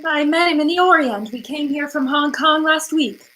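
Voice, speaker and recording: A woman's voice: sits high, moderate pace, sharp tone, a little hiss or hum. A woman playing a pleading, manipulative femme fatale in old-time radio noir style, hesitant, fluttery and melodramatic. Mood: anxious, evasive.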